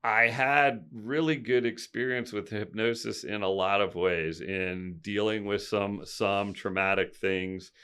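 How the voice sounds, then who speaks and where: Podcast guest, man in his fifties: deep smooth voice, open and reflective, thinks aloud with restarts. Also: fairly even intonation, unhurried, hardly any pauses, a bit bright. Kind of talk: candid conversational storytelling.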